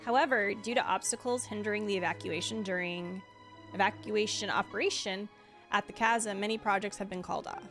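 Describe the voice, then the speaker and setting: A woman's voice: medium pitch, fairly even intonation, fairly quick. Woman streamer casually commenting on gameplay. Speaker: game streamer. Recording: soft game music behind.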